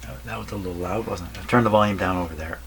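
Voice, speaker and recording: A man's voice: low-pitched, racing. A man thinking aloud casually while testing electronics, tentative and often questioning himself. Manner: tentative, muttering, self-questioning. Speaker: radio repair vlogger. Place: radio repair workshop. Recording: loud electrical hum and crackling noise. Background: humming, noisy old radio being tested.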